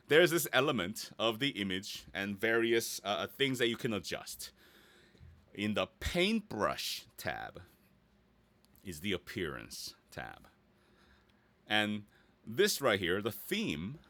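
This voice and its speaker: Casual male instructor with a bright voice. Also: medium pitch, dry recording. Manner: chatty, playful sound effects, checks in with "right?". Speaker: instructor, presenter. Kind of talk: casual live screen-share tutorial.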